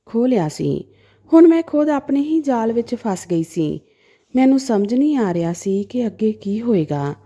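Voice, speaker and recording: A woman's voice: fairly high pitch, dark tone. A woman narrating a dramatic story in the first person as a storyteller.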